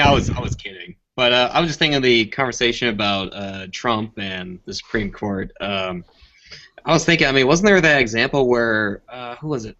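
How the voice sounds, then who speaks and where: A man with a bright, edgy mid-range voice talks politics casually on an online call. He leads into points hesitantly, and the audio is dull and lo-fi. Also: mid-range voice. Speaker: podcast panelist. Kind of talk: casual political group chat. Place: group internet voice call.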